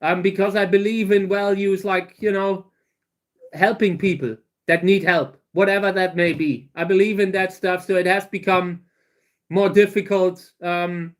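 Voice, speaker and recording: A German man in his thirties with a German accent, answering viewer questions on a casual livestream, halting and restarting his sentences often. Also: high-pitched, steady tone. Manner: halting, many restarts and fillers. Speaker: livestream host.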